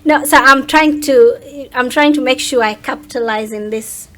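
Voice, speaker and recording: A woman's voice: sits high, moderate pace, fairly bright tone. A woman speaking casually as a guest in an interview, talking about herself as a content creator. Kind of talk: casual chat.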